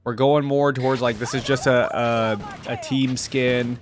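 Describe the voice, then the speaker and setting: A man's voice: mid-range voice, steady tone, measured pace, noisy background. A man giving casual, enthusiastic reaction commentary as a gaming video host, full of exclamations and slangy phrasing.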